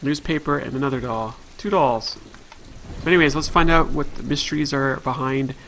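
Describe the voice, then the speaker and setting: A man's voice: medium pitch, normal speed, a bit bright, slight background noise, poor audio. A man hosting a let's play, chatting casually and thinking aloud as he plays. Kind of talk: casual gameplay commentary.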